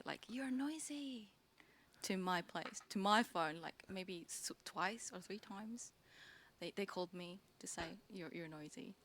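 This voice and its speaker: A woman musician chatting as a guest, talking haltingly and searching for words with frequent giggles. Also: medium pitch, fairly bright tone. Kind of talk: casual interview chat.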